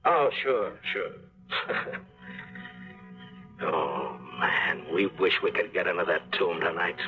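A greedy, jittery treasure hunter with a fairly low voice. He wheedles and talks feverishly. The sound is a muffled old radio play with faint music. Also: animated, takes it slowly. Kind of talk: 1950s radio drama acting.

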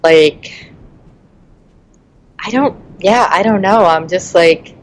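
A woman in her forties, a guest on a podcast, talking in a fairly low voice. She speaks frankly and thoughtfully, often hedging with "I mean" and "like" as she works through her ideas. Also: slow. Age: 40s. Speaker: podcast guest, nonprofit founder. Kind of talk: casual interview chat.

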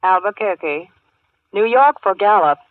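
Tinny formal telephone operator. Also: female voice, mid-range voice, lively intonation, measured pace, no room sound. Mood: brisk, impersonal. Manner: formal, businesslike, singsong phrasing. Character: brisk, formal female long-distance telephone operator. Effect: telephone filter. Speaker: supporting actress. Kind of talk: 1940s radio acting. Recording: tinny phone-like old radio recording.

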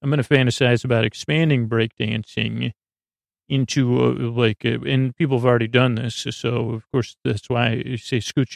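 A man with a creaky voice hosting a podcast, rambling through a bedtime monologue meant to lull listeners to sleep, halting and stammering as he drifts into tangents. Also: mid-range voice, no room sound, studio quality. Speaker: podcast host.

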